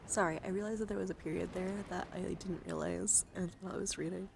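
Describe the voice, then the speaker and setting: A soft-spoken woman live streamer doing a casual ASMR card show-and-tell, chatting and often dropping into a whisper. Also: fairly low pitch, fairly even intonation, fast talker, vocal fry, a little hiss or hum.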